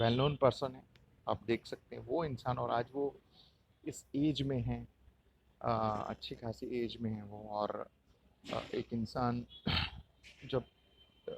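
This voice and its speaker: Casting: male podcast host, Indian accent, mid-pitched voice, casual solo commentary with a halting, explanatory delivery. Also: gravelly, noisy background. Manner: hesitant, explanatory, repeats points.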